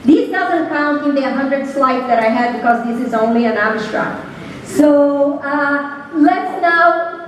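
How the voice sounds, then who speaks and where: A woman's voice: sits high, slight background noise. A woman from Brazil with a Brazilian accent, giving a conference keynote with friendly jokes and frequent fillers. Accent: Brazilian Portuguese. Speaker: keynote speaker. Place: large conference hall. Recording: roomy hall, distant from mic.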